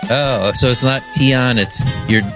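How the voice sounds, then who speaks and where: A man co-hosting a podcast, bantering casually in a teasing, excitable way. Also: mid-range voice, a little slow, music in the background. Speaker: podcast co-host.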